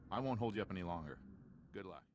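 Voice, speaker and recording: A man's voice: low-pitched, animated, quick pace, noisy background. A man playing a cheeky, dutiful army sergeant in a game, talking in a breezy, wry, informal way. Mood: helpful, brisk. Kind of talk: video game cutscene acting.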